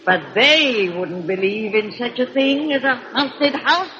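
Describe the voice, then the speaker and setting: A woman's voice: a little high, expressive, takes it slowly, sharp tone, full character voice. An actress playing a gleefully macabre, cackling witch of a hundred and eleven, telling horror tales in rustic folksy speech on old-time radio. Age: 111, very old. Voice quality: cracked, cackling old crone voice. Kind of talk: 1930s radio horror storytelling. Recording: muffled old radio recording.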